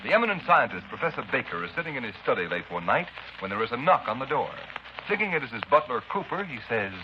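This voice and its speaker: A male radio announcer reading scripted lines in a crisp, formal manner, in a muffled 1930s radio broadcast. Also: medium pitch, very animated, quick pace, noisy background.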